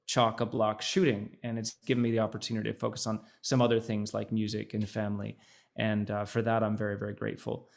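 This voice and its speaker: A man's voice: fairly low pitch, flat delivery, fast, creaky. Thoughtful man talking over a video call. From Netherlands, by his mother. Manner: thoughtful, many fillers and restarts. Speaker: actor, podcast guest.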